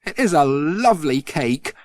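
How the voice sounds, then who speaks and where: A polite, puzzled village postman, as a male storyteller plays him, pitched well above the narration and swinging about with bemused, sing-song questions. The recording is close and dry. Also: big pitch swings, moderate pace, no room sound. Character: friendly, puzzled village postman, grown man.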